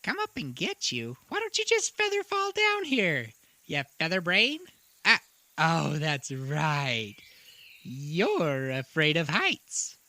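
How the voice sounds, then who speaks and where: A performer voicing an excitable, bumbling halfling who huffs, exclaims and giggles in an audio drama. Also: mid-range voice, takes it slowly, no echo, heavily acted. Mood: impatient, scheming. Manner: exclaiming, huffing, giggling, swooping. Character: excitable, bumbling halfling storyteller companion named Solomon. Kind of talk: audio drama acting, muttering to self.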